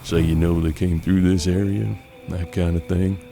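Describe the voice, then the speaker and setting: A man's voice: low voice, little pitch movement, normal speed, creaky, mellow, faint music behind. An American man playing a seasoned, unflappable bounty hunter in a western audio drama, with a folksy, laconic drawl. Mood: calm, calculating. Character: seasoned, unflappable Old West bounty hunter mentoring his apprentice.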